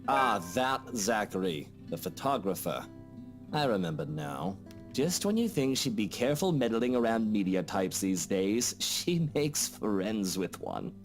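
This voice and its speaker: An actor playing a haughty upper-class British husband in a visual novel game, condescending and sardonic, with a sneering drawl. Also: male voice, lowish voice, sing-song, unhurried. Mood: cool suspicion. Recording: guitar music behind the voice.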